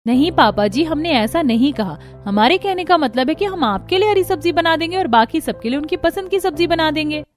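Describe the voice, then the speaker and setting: Woman voicing a polite daughter-in-law, cartoon, high voice, reasoning. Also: animated, a little fast, hardly any pauses, faint music behind. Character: young daughter-in-law, polite but firm.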